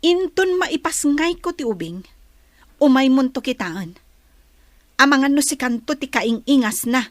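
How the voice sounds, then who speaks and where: A woman acts out a young village woman with an Ilocano accent, wounded and resentful. Her voice is very high, and the sound is close and dry, in radio drama style. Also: animated, a little slow, no echo. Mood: bitter, accusing.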